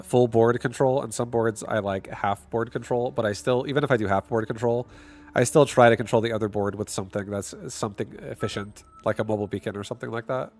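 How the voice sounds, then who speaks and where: Stuffy-nosed man streaming a strategy game. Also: medium pitch. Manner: casual, thinks out loud, bursts into excited shouts. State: stuffy nose. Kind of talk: live gameplay commentary.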